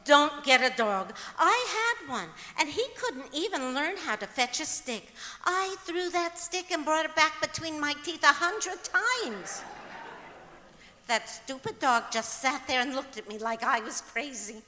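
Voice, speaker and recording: A woman's voice: high voice, very animated, measured pace, bright, forceful tone, slight background noise, full character voice. A woman playing a sweetly illogical, guileless wife in old-time radio comedy style on stage. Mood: chatty, matter-of-fact. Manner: guileless, earnest delivery of nonsense. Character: scatterbrained, sweetly illogical wife, cheerfully matter-of-fact. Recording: echoey auditorium stage performance.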